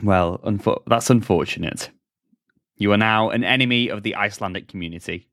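A man's voice: lowish voice, a little fast, fairly bright tone. A man hosting a podcast, joking wryly in chat and then reading news items in a scripted tone. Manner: wry, joking, shifts into a scripted news read. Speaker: podcast host.

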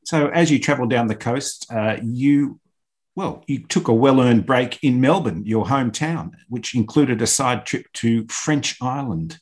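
A man hosting a radio interview, asking prepared questions in a measured, clear way. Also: mid-range voice.

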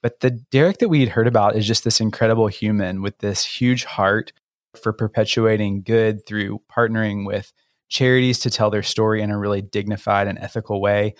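A man's voice: fairly low pitch, no room sound, studio quality. A man with an American accent co-hosting a podcast interview, talking informally and effusively. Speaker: podcast co-host.

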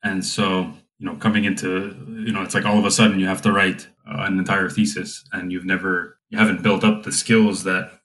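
A young man hosting a relaxed conversation, talking in a thoughtful way with plenty of fillers. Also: low-pitched, a little fast, hardly any pauses, rough recording. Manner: reflective, with frequent fillers. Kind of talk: casual conversation.

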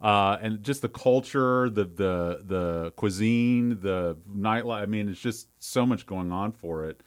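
Low-voiced guy hosting a podcast, chatty and eager, kinda rambly. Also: unhurried, clean tone. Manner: enthusiastic, rambling questions. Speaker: podcast host and attorney. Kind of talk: casual interview chat.

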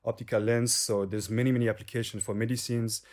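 A man's voice: medium pitch, flat delivery, quick pace, darkish tone. A young man from the French-speaking part of Switzerland with a French accent, a guest in casual interview talk who sometimes halts to search for English words. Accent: Swiss French.

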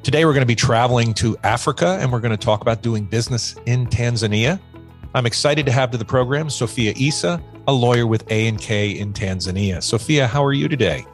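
A man's voice: medium pitch, fairly quick, faint music behind. A man hosting a podcast interview, asking questions in a friendly, conversational way. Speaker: podcast host.

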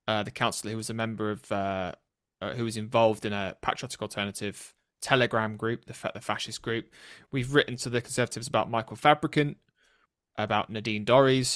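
A British man with a nasal voice, hosting a political podcast in an earnest, serious and somewhat halting way. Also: on the low side, fairly bright tone, dry recording, studio quality. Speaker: podcast host interviewing a colleague. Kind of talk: conversational political podcast interview.

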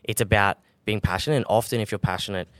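A young man, a brand co-founder, talking calmly as a podcast guest, with lots of fillers and restarts. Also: fairly low pitch, quick pace, dry recording. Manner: calm, relaxed, with many fillers and restarts. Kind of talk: casual podcast interview.

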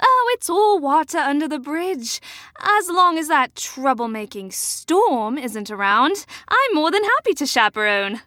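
A woman's voice: high-pitched, big pitch swings, unhurried, bright, forceful tone, no room sound, studio quality, exaggerated voice. An actress playing a genteel older woman chaperone in an audio drama, sweet and theatrical with a faint menace. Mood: breezy, sweet.

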